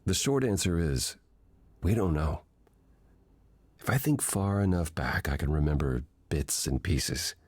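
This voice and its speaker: An actor playing an ancient, weary god in a video game, speaking as a gentle, patient mentor in a measured, reflective way. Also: male voice, rumbling low, animated, husky, no echo, heavily acted. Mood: wistful.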